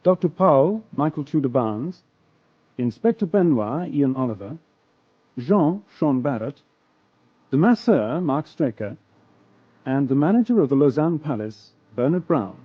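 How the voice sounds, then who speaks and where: British man, radio announcer, formal scripted delivery, highish voice, varied intonation, dull recording. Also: big pitch swings, unhurried.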